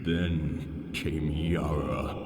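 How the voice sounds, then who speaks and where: An actor playing an ancient, enslaved alien god in a fantasy audio drama, solemn and sorrowful, with grandiose archaic phrasing. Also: male voice, a bass voice, level tone, extremely slow, a little mellow, full character voice. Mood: grave, mournful. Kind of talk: full-cast fantasy audio drama monologue. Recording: cavernous echoing reverb, distant, music underneath. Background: music.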